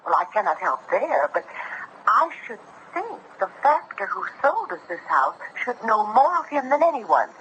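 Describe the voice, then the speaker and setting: An actress playing a polite, hospitable colonial householder in a 1970s radio drama, speaking formally. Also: female voice, a little high, sing-song, normal speed, a bit bright. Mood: gracious. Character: courteous, hospitable colonial Boston householder woman. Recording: noisy vintage radio broadcast.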